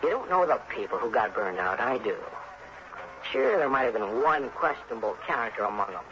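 An actor playing a harried, chummy insurance agent in an early 1960s radio drama, earnest, hurried and folksy. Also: male voice, mid-range voice, animated, music in the background, close to an everyday voice. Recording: noisy, dull vintage radio recording.

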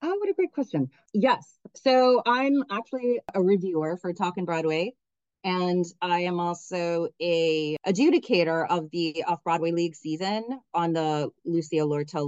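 A woman's voice: on the low side, a little mellow, no echo, highs cut off. A middle-aged woman from Miami, a theater director speaking enthusiastically as a podcast guest, often restarting her sentences. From Miami, United States. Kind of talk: podcast interview.

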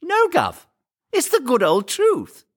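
A man voicing a cocky, flippant, excitable young son in a comic story, with drawling, exaggerated emphasis. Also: extremely high pitch, slow pace, no room sound, clean studio sound, voice pushed up, full character voice. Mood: breezy.

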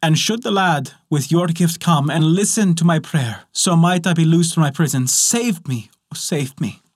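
A man's voice: fairly high pitch, normal speed, dry recording, studio quality, voice pushed up, close to an everyday voice. A man reading a storybook, voicing an enchanted young princess with a sweet, imploring voice. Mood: pleading.

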